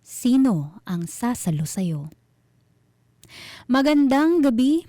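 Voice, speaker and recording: Filipino lady telling mystery stories slowly, suspenseful, very dry close sound. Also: a little high, very animated, dark tone, no room sound. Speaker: host and storyteller. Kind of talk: scripted narration of mystery stories.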